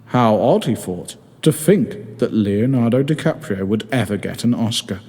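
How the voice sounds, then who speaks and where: A man's voice: lowish voice, lively intonation, moderate pace, darkish tone, husky. A man reading his own comic story as scripted narration, with a mock-dramatic storytelling manner. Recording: music behind the voice.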